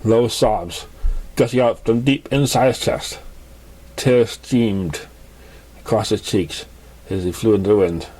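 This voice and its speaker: A man's voice: on the low side, takes it slowly, pauses often, darkish tone, low-quality recording. A man reading fan fiction aloud as an amateur narrator, often stumbling over words and restarting them. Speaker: amateur story reader.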